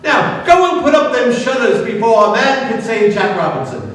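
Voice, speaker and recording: A hearty old merchant calls out cheerful orders, as a man puts him on. He sounds high-pitched and far away in a long, echoing hall. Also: sing-song, normal speed, a bit bright, exaggerated voice. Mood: jolly.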